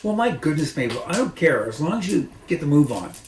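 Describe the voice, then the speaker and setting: A man voicing a bossy, callous woman in a storybook, calling out loudly and impatiently. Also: a little high, very animated, a little fast, voice pushed up. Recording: echoey room, noisy.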